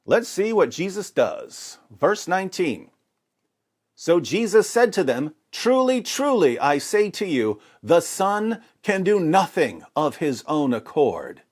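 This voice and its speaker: A man's voice: sits high, sing-song, takes it slowly. A man presenting scripted religious commentary and scripture readings in a dramatic, rhetorical manner. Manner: dramatic, rhetorical, sarcastic mock alarm. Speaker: apologetics presenter.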